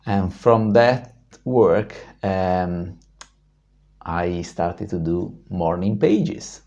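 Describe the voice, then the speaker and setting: A man with a deep baritone voice tells a personal story as a vlog host, reflective and full of pauses and fillers. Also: animated, mellow, dull audio.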